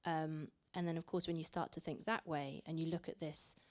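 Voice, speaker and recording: Low-voiced reflective woman, Italian-raised. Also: level tone, a little mellow. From Italy. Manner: reflective, halting with repeated words. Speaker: author. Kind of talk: panel talk. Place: library event room.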